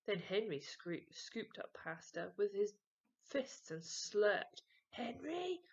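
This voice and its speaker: Expressive woman reading a children's story, measured pace. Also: medium pitch, highs cut off. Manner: dramatic emphasis, drops to hushed whispers. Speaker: story reader.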